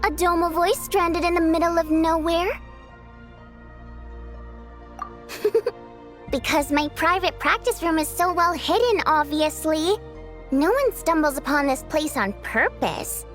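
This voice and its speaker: A woman's voice: very high-pitched, unhurried, a bit bright. An actress playing a playful, coy female water nymph in a video game, with a sassy, theatrical delivery. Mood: teasing. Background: game music.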